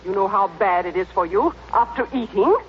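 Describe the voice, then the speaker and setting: A woman's voice: mid-range voice, brisk, close to an everyday voice. An old radio comedy, muffled and noisy. An actress plays a worried wife, a woman who soothes and pleads in a placating manner. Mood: anxious, pleading. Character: placating, anxious wife of a grumpy businessman. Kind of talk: 1930s-1940s radio comedy acting.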